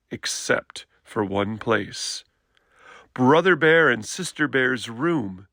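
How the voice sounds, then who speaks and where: A man reading a children's picture book aloud in an expressive storytelling style. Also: mid-range voice, no room sound, clean studio sound.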